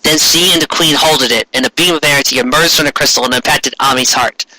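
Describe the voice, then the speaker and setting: A man's voice: on the high side, fast talker, sharp tone, no room sound, low-quality recording. A man reading fan fiction aloud as comic, dramatic narration in a theatrical manner. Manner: theatrical, performs many character voices.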